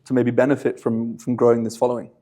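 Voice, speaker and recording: Fast-talking male podcast host. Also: medium pitch, completely flat delivery, mellow. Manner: chatty, long leading questions. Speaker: interview host. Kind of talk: casual interview.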